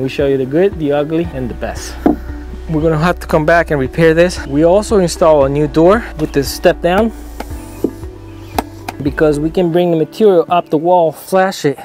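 A man, a roofing company owner giving an energetic, informal vlog walkthrough on a rooftop. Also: a little high. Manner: energetic, informal, demonstrating with tools. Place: outdoors on a residential rooftop. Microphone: lapel.

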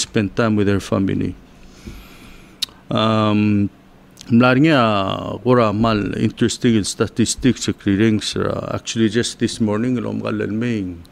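A man in his forties with a Palauan accent, chatting in a relaxed, rambling way with fillers and chuckles, speaking into a desk mic in a small radio studio. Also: on the low side, gravelly, slight background noise. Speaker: senator on a talk show. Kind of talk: casual radio talk, mixing two languages. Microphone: desk mic on a stand.

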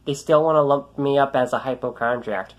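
A young man with a light, youthful voice vents into a phone held at arm's length. He rambles, swears and starts his sentences over. His pitch sits in an ordinary middle range. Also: fairly quick. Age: young adult. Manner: frustrated, rambling, swearing, many restarts. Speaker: vlogger. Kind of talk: ranting selfie vlog. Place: small room indoors, then outdoors on a road.

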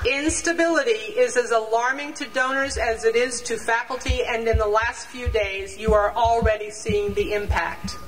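An older woman reading a prepared statement aloud to a rally on an outdoor lawn, measured and deliberate, sometimes stumbling and restarting over numbers. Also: a little high, steady tone, loud music behind the voice. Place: outdoor campus lawn before a large crowd. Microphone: standing mic on stand, picked up from a distance. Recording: distant outdoor pickup with crowd noise.

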